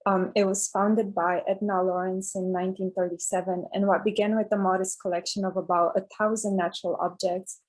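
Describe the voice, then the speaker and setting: Female presenter, medium pitch, explanatory, slightly hesitant, video call. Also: flat delivery, a little fast, few pauses, a little mellow, poor audio. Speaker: workshop presenter. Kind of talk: introducing a workshop.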